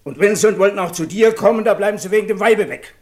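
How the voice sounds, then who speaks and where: Radio drama: male actor as stubborn, accusing man, fairly high voice, emphatic and colloquial. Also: fast, darkish tone, close to an everyday voice. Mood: indignant, accusing. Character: outspoken, indignant brother-in-law, accusing and stubborn. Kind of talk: German radio drama acting.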